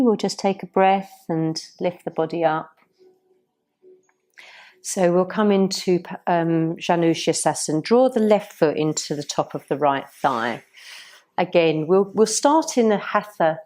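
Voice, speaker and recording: A woman yoga teacher guides stretches and breathing in a soothing, unhurried manner. Her voice sits fairly low and has a warm, mellow tone. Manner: soft, soothing, unhurried guidance. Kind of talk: guided yoga class instruction.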